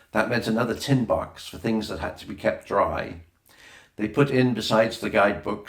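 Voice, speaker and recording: A man's voice: fairly low pitch, a bit bright. A man reading a children's adventure novel aloud as scripted narration, with a roomy echo on the recording. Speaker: audiobook reader.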